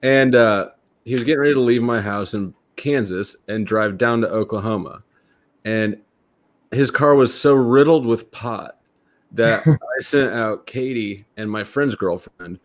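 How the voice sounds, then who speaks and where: A man's voice: mid-range voice, unhurried. A man co-hosting a casual livestream, blunt and teasing, with plenty of swearing. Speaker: livestream co-host.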